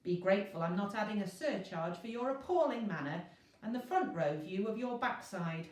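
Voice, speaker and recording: A woman's voice: mid-range voice, expressive, lightly acted. A woman voicing a haughty, self-righteous young female constellation spirit in a storybook reading. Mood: indignant, lofty. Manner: brisk, self-righteous, argumentative. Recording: roomy echo, distant microphone.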